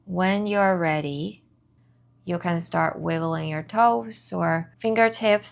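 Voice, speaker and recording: A woman leading a guided body scan meditation as an instructor, giving soothing, measured instructions in short phrases with pauses between them. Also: fairly low pitch, gravelly, a bit bright. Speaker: meditation and somatic movement instructor.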